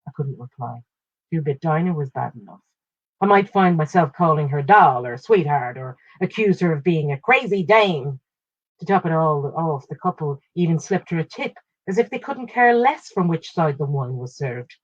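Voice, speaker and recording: A woman reading a literary short story aloud in a measured, expressive way, in short phrases. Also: sits low, breathy edge, no room sound, lo-fi sound.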